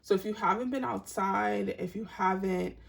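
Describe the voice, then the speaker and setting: A woman giving a tarot reading to her online audience in a soft, meandering, spiritual way, with a raspy voice. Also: mid-range voice. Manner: soft-spoken and meandering, unhurried spiritual talk. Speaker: tarot reader.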